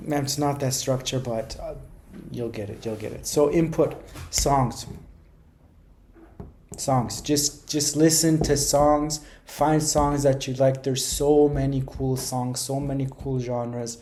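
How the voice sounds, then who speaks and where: A man's voice: medium pitch, steady tone, takes it slowly, dark tone. A man giving casual, rambling how-to advice, speaking informally and emphatically and often repeating phrases for stress. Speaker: language-learning video creator.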